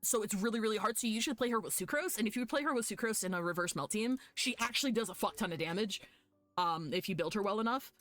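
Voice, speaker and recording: A woman hosts a live game stream at an ordinary mid pitch. She chats casually with her viewers, animated and gushing like a fan, and swears freely. Her voice sounds dry, with some music playing behind it. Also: fast, dry recording. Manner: foul-mouthed. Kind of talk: casual chat with viewers while gaming.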